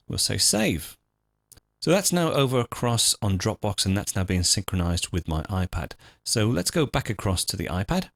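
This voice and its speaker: A man hosting a software tutorial, explaining things step by step in an informal, methodical, conversational way. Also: lowish voice, vocal fry, dry recording, studio quality. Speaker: host of a software tutorial show.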